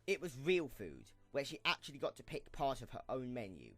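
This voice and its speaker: A man's voice: mid-range voice, huge pitch swings, fairly quick, a bit bright, no echo. A man reading scripted storybook narration as the narrator of an audio drama. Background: music.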